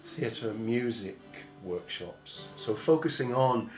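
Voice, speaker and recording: A man talking reflectively and haltingly as a theatre musician who leads workshops. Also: medium pitch, expressive, darkish tone. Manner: thoughtful, halting delivery. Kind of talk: reflective interview talk. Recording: roomy echo, music underneath.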